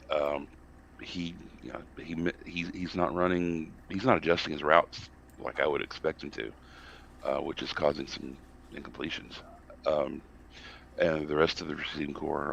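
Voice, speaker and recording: A man's voice: extremely low pitch, fairly even intonation, moderate pace, creaky, fairly bright tone, lo-fi sound, slight background noise. A man with a Southern accent, co-hosting a casual sports podcast and ranting bluntly about his team. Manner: blunt, ranting, self-interrupting. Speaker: podcast co-host and football analyst.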